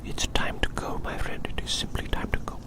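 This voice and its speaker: An ASMR performer whispering breathily and gently in a roleplay as a kindly, curious adventurer, often repeating phrases softly. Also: fairly quick. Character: kindly, curious adventurer fond of magical little creatures.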